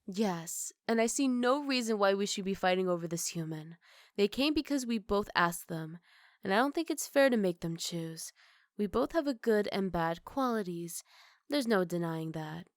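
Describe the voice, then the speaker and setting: A woman's voice: mid-range voice, expressive, normal speed, dry recording, clean studio sound, close to an everyday voice. A woman voicing a spoiled but sweet young wolf girl in an ASMR roleplay, speaking in an earnest, coaxing way. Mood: earnest, reasoning. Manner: pleading, earnest, gently coaxing.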